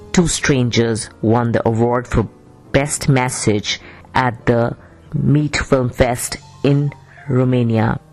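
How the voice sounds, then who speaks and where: A woman's voice: extremely low pitch, a little mellow, low-quality recording. A woman hosting a radio talk show, introducing guests in a chatty way that often restarts. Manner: chatty, repeats words and restarts.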